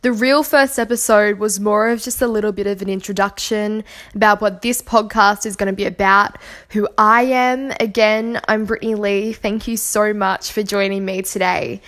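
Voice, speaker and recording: A woman's voice: medium pitch, steady tone, normal speed. A woman hosting a solo podcast, talking earnestly and reflectively while nursing a cold and sore throat. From Australia. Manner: earnest, reflective, gently encouraging. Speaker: podcast host. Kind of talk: solo podcast monologue on kindness.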